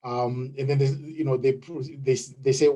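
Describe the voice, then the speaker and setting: A man with a Zimbabwean accent, speaking on a video call in an online meeting and pausing often with fillers and restarts. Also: medium pitch, steady tone, mellow. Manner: hesitant, many fillers and restarts. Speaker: webinar participant. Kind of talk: unscripted contribution in an online meeting.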